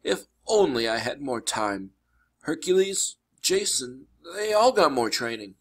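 Man voicing a grave, kindly older mentor, gravelly. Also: medium pitch, big pitch swings, a little slow, a bit bright, heavily acted. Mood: gentle, regretful.

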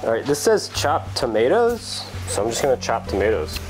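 A man's voice: medium pitch, big pitch swings, moderate pace, music in the background. A man in his thirties bantering casually as he co-hosts a home cooking video, dry and wry in his humor. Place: home kitchen.

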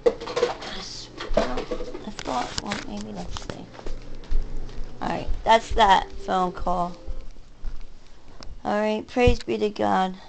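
Middle-aged American woman with creaky voice. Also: medium pitch, fairly even intonation, very slow, pauses often, background noise. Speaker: home vlogger. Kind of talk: casual, rambling talk to camera. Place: rooms of a home, indoors.

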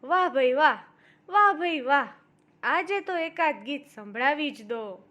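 A woman voicing a sly, flattering male fox in a coaxing manner for a children's fable. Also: sits high, measured pace, exaggerated voice. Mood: sly flattery.

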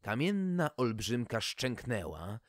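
A man's voice: medium pitch, sing-song, slow, dry recording, studio quality. A man reading a humorous fairy tale as podcast host, in a theatrical, folksy storytelling manner.

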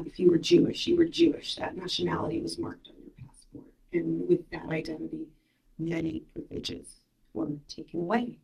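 A woman in her thirties from Ukraine whose first language is Russian, reading her poems aloud in a measured, soft-spoken way at a mic stand in a small gallery room. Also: fairly low pitch, vocal fry. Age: 30s. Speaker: poet. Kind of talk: poetry reading. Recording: roomy room echo.

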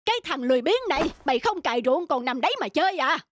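Cartoon folk tale: woman as sly male landowner, lecturing and cajoling, high and animated. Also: racing, no echo, clean studio sound. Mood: angry. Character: stingy, sly, preachy middle-aged male landowner.

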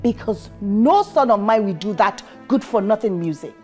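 A woman's voice: mid-range voice, animated, moderate pace, darkish tone, faint music behind, natural voice. A woman playing a furious pious mother. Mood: scolding. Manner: scolding, preachy.

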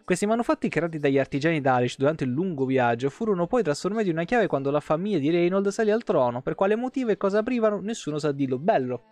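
A man's voice: on the high side, fast, hardly any pauses, no echo. A man, reading game lore aloud expressively with casual asides as a streamer. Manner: expressive reading, breaking off for informal comments. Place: small indoor room, streaming setup. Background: plucked guitar music.

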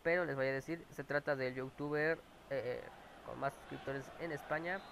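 A man's voice: mid-range voice, fairly even intonation, moderate pace, vocal fry, a bit bright, a little hiss or hum. A man with a Mexican accent and a cold, chatting casually while playing and swearing at the plays. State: has a cold. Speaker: gamer commentating his own match. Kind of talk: casual gameplay chat. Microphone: clip-on mic near his mouth.

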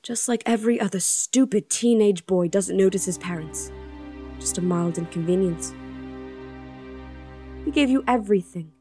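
A fiery young princess, acted by a woman in an audio drama. She is grieving and protective of her brother. Her voice sits at a middle pitch, with faint music behind it. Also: normal speed, pauses often, dark tone, husky, natural voice. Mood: angry, hurt. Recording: music under the voice.

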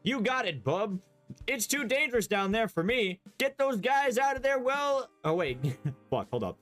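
A man voicing a loud, brusque male construction foreman. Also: very high-pitched, sing-song, normal speed, soft background music, pitched above the performer's own voice, heavily acted.

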